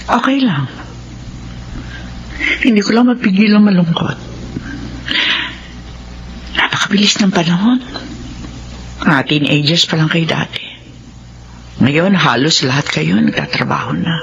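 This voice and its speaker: A woman's voice: on the low side, a little slow, long pauses, highs cut off, slight background noise. An actress playing an anxious, loving mother in middle age, tender and worried, in a radio drama. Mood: wistful. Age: middle-aged. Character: anxious, loving, lonely mother nearing retirement.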